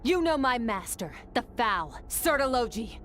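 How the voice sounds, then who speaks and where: An actress playing an aloof, stern young woman warrior in a video game, speaking in a cool, measured, condescending way. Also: fairly high pitch, very animated, background noise. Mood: cold challenge.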